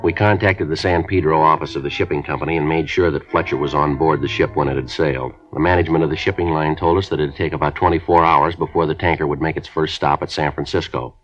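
An actor playing a police detective who narrates a 1950s radio drama in a clipped, matter-of-fact delivery. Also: male voice, very deep voice, fairly even intonation, fast talker, hardly any pauses, faint music behind. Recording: muffled old radio broadcast.

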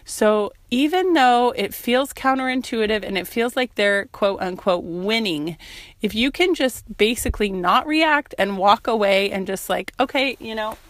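A woman talks on her own podcast while sick, her voice worn down. She speaks earnestly and thoughtfully, pausing often with fillers. The recording is dry, with no room sound around her voice. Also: a little high, lively intonation, no echo. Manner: earnest, reflective, frequent "um" and "you know". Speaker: podcast host. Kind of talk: casual solo podcast talk.

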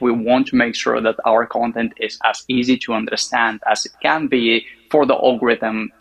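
A man's voice: mid-range voice, gravelly, fairly bright tone, rough recording. A man from Bulgaria speaking English with a Bulgarian accent, a podcast guest giving articulate, confident answers about his marketing work. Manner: articulate, confident, measured explanations. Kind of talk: interview answers.

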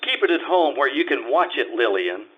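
An actor playing a wisecracking hard-boiled private eye in a live old-time radio play, dry and sardonic. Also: male voice, mid-range voice, sing-song, normal speed. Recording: muffled lo-fi recording.

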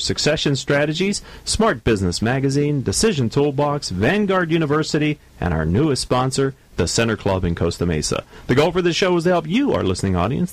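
A man's voice: mid-range voice, no room sound. A man hosting a business talk radio show, opening with sponsor reads and interviewing a guest in a promotional style. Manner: lively, promotional host delivery.

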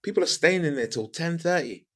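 A man's voice: on the high side, animated, fairly quick. A middle-aged man from the UK, a podcast guest and coach, giving emphatic advice with a big voice, repeating his key phrases and asking rhetorical questions.

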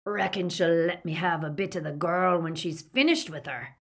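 A woman voicing a savage, menacing male werewolf with a rasping snarl, in an audiobook story. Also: fairly low pitch, moderate pace, pushed and bright, heavily acted. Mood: leering menace.

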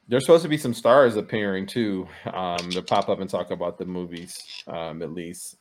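A man's voice: low-pitched, moderate pace, a little hiss or hum. A man co-hosting a podcast, giving casual opinions in a laid-back, slangy way. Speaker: podcast co-host. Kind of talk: casual chat, movie opinions.

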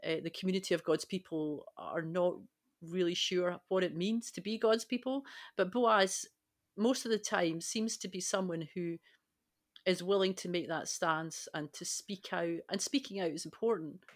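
A woman reflects aloud as a panellist in a podcast conversation. Her voice is a little on the low side, and she talks in a conversational way. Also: steady tone, normal speed.

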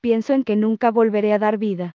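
A woman reading a text aloud phrase by phrase, then repeating each phrase slowly and calling out the punctuation marks. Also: medium pitch, steady tone, dry recording, lo-fi sound.

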